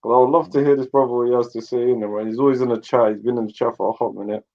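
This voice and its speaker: A man's voice: medium pitch, steady tone, racing. A man hosting a casual online discussion, pushy and teasing, mixing in Somali and Arabic words. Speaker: host. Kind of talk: casual chat. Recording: dull lo-fi online call audio.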